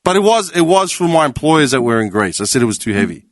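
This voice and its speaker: A man's voice: medium pitch, very animated, fast, no room sound, clean studio sound. A man with an Australian accent telling a story on a casual podcast, swearing and acting out what others said. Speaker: podcast panelist. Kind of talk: casual chat.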